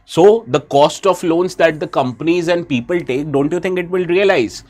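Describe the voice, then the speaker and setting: A man's voice: a little high, moderate pace, darkish tone. A man with an Indian English accent, who has a bad cold, gives a live exam-prep lecture and keeps asking his students questions. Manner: asks students questions, switches briefly into Hindi.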